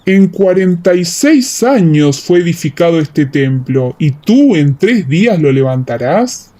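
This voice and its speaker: A questioning Judean elder from a scripture radio play , performed by a woman. He takes his time over each phrase, measured and puzzled. The voice is mellow and pitched down. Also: sing-song. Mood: challenging.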